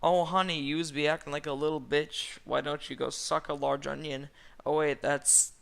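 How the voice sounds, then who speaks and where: Catty, flirty donkey for comic storytelling, male reader, raised pitch with a creaky edge. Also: flat delivery, moderate pace, a bit bright. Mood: sassy. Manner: sassy, catty, dramatic. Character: sassy, flirty, anxious donkey in a crude parody story.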